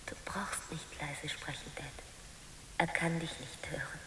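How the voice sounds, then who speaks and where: A woman playing an exhausted, resigned daughter in a radio drama, speaking in short, subdued, breathy answers. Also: low voice, fairly even intonation, a little slow. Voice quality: breathy, whispery. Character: exhausted, resigned grown daughter, calm and dutiful. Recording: echoey reverberant hall.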